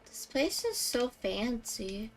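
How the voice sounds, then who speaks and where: A woman's voice: on the high side, very animated, takes it slowly, soft background music, rough recording. A woman game streamer giving casual live commentary, musing and reacting aloud in short remarks.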